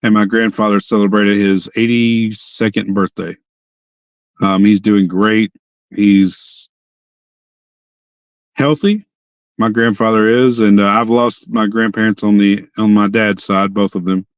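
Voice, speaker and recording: A hoarse young man hosting a casual livestream chat from his parked car, rambling and often restarting his sentences. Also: lowish voice, steady tone, a little slow, pauses often, no room sound, lo-fi sound. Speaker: livestream host.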